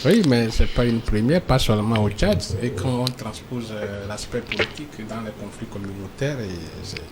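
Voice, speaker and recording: A man speaking as a debate panelist, halting and repeating himself but emphatic. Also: lowish voice, noisy background. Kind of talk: debate commentary.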